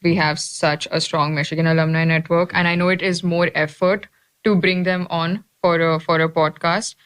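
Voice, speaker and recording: A woman's voice: lowish voice, little pitch movement, moderate pace, fairly bright tone, clean tone. A young woman, an MBA student co-hosting a podcast, who lays out her ideas point by point in a thoughtful, casual way.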